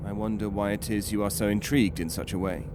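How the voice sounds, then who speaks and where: A man's voice: on the low side, steady tone, quick pace, background noise, natural voice. A man playing an uneasy, stern doctor in an audio horror drama, speaking with formal, clinical control. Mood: clinical, probing. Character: uneasy, stern British male doctor interrogating a prisoner.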